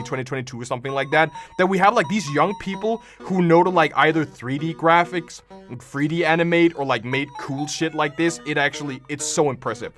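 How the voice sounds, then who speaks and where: A young man gives casual reaction commentary on a stream. His voice is high for a man, with an exclamatory, rambling delivery. Faint music sits behind him. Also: fairly bright tone.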